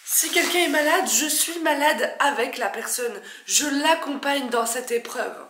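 A young woman in her twenties with a stuffy nose from a cold, chatting excitedly and poking fun at herself while she unboxes a plant in her living room. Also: sits high, a little slow, reverberant, noisy background. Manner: chatty, excitable, self-mocking. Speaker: plant vlogger. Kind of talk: casual unboxing vlog.

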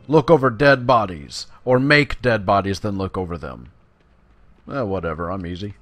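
A man's voice: fairly low pitch, moderate pace, fairly bright tone, a little hiss or hum. A man giving casual, playful gameplay commentary in a chatty, slangy way, sometimes reading quest text aloud. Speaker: video game player and commentator.

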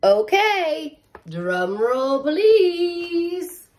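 A woman in her thirties reads a picture book to children at an unhurried pace. Her voice sits high and swings widely in pitch. She is expressive and slips into chatty asides aimed right at her young listeners. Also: a little hiss or hum. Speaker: teacher reading aloud. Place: living room at home, indoors.

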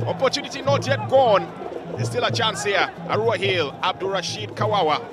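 A man with a Ugandan English accent giving live football commentary, with flowery, theatrical phrasing and shouts at the big moments. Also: sits high, normal speed. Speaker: play-by-play commentator. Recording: broadcast mix with loud crowd and music.

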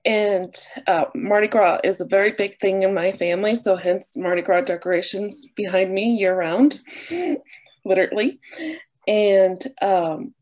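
A woman in her thirties, a nun and vocations director, born in Argentina and raised near New Orleans, talks unhurriedly over a webcam with many fillers and soft laughs. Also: medium pitch, steady tone, measured pace, low-quality recording. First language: Spanish. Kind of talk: casual talk, opening with a prayer. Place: small bedroom with a wooden armoire, indoors. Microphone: webcam on a video call.

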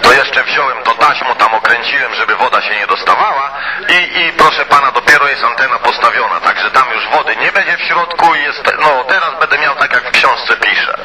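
A man's voice: mid-range voice, fairly quick. A man chatting casually over CB radio in a colloquial, rambling way, with mild swearing and filler phrases. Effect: CB radio transmission. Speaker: CB radio hobbyist. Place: two-way CB radio conversation. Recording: noisy CB radio transmission.

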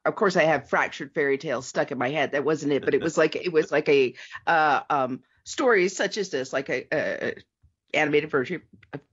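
A woman's voice: low voice, a little fast, husky, muffled recording. A middle-aged woman chatting casually as a podcast panelist, rambling and digressing as she goes. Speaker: podcast panelist reviewing old radio shows. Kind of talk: casual chat.

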